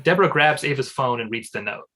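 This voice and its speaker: A man's voice: medium pitch, lively intonation, fast, pushed and bright. A man reading screenplay stage directions as scripted narration at a comedy table read.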